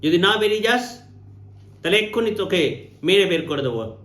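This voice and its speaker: A man's voice: sits high, lively intonation, normal speed, fairly bright tone, voice pushed up. A man voicing a stranded, suspicious young biker in a story, commanding and scolding. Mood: angry threatening. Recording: roomy, echoing sound.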